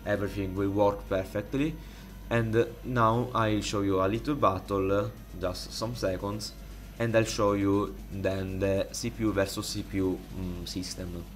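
A man with an Italian accent, speaking English as a second language, walks through a modding tutorial in a halting way, with many fillers and restarts, recorded on a desktop microphone. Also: on the low side, fairly even intonation, faint music behind. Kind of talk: casual screen-recorded tutorial. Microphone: desktop USB microphone.

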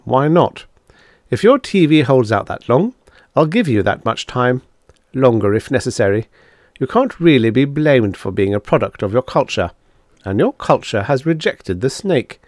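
Man voicing a suave, condescending devil, lively pitch. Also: moderate pace, dry recording, studio quality, lightly acted. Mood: condescending, reasoning. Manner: condescending, matter-of-fact, lecturing. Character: suave male devil, proud, coolly bargaining. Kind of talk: audiobook character dialogue.

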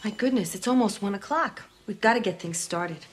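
An actress plays a middle-aged suburban woman in a film, genteel and breezy even when the subject is grisly. Her menace is understated and matter-of-fact, delivered at a medium pitch. There is faint noise in the recording. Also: a little fast, natural voice. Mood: politely condescending. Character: genteel, cheerful suburban woman calmly planning to cook a boy.

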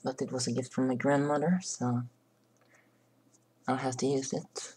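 A hoarse young man chatting casually to his webcam in a small bedroom, rambling and clearing his throat. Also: medium pitch, flat delivery, moderate pace, a little mellow. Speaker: vlogger. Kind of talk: casual makeup vlog chat. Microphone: webcam close up.

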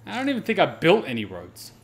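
A man's voice: on the high side, wildly swooping pitch, fast talker. A man giving casual live gameplay commentary, exclaiming, questioning and swearing as he plays. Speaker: gaming streamer. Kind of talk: casual live gameplay commentary, reading on-screen text aloud. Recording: background noise behind the voice.

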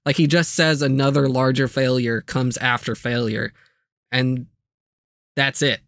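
A man's voice: medium pitch, steady tone, unhurried, a bit bright, no echo, studio quality. A man delivering a casual, comedic scripted video review, with jokey asides and playful exaggeration.

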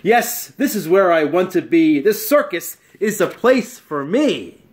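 A man's voice: sits high, very animated, a little slow, slight background noise. A man voicing an eager spotted animal in a storybook, boastful and pleading as it shows off. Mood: delighted. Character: eager spotted animal showing off magic tricks. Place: small bright room at home.

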